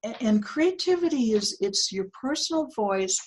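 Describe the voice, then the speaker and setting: An older woman with a low, earthy voice, talking as a guest in an interview, reflective and given to small laughs and restarts. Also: lively intonation, moderate pace, a little mellow, a little hiss or hum, rough recording. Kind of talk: casual interview conversation.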